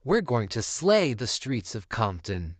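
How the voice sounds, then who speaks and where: Man as a flamboyant storybook showman, sassy, campy, swooping pitch, unhurried, dry close sound. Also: big pitch swings, no echo.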